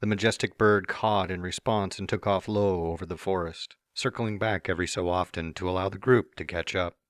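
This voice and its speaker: A man narrates a storybook tale in a very low, deep voice, slipping into a cocky, teasing tone for the hero's own lines. The sound is close and dry, with no room around it. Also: steady tone, brisk, smooth, dry recording. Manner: first-person narration with playful smug asides. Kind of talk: fan dramatic reading of a story.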